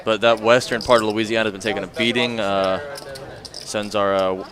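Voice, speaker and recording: A man's voice: fairly low pitch, little pitch movement, normal speed, fairly bright tone, soft background music. An American man doing casual live poker commentary, chatting in a laid-back, colloquial way. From Florida, United States. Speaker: regular poker stream commentator.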